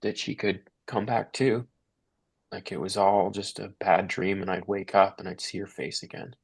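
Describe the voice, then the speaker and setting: A man's voice: low voice, level tone, moderate pace, gravelly, slightly rough, heavily acted. A man playing a guilt-ridden, grieving father, sombre and confessional, in a dramatic table read.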